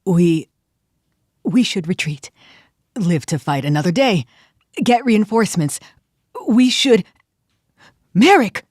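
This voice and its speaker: A woman voicing a frightened young adventurer woman, breathy and hesitant. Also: fairly low pitch, expressive, slow, pauses often, a little mellow, dry recording, studio quality. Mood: fearful. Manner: hesitant, anxious.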